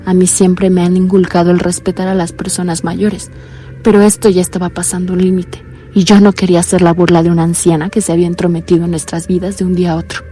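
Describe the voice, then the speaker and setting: Woman acting as blind young caller, uneasy and earnest, flat delivery, mellow tone, faint music under. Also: fast, close to an everyday voice. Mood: anxious. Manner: low, earnest, uneasy confiding. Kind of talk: radio drama acting.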